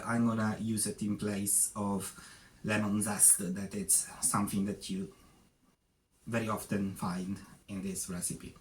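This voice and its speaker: A man's voice: fairly low pitch, a little hiss or hum, low-quality recording. A man from Sicily with an Italian accent, hosting a casual cooking tutorial and chatting loosely with many fillers. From Sicily, Italy. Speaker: cooking channel host.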